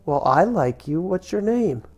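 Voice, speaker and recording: A man's voice: sits high, big pitch swings, measured pace, darkish tone, soft background music, voice pushed up, full character voice. A man putting on a cheerful little storybook girl. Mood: caring. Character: gentle kind little girl.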